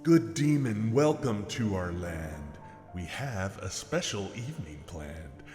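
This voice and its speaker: A brash, greedy teenage boy as a man acts him out proclaims in pompous storybook verse. His voice leaps about in pitch and carries a long reverb. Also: very animated, takes it slowly. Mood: eager, commanding. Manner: loud, pompous, commanding declamation in verse. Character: bold, greedy teenage boy summoning a demon. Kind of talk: dramatic poem reading. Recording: long reverb with music behind.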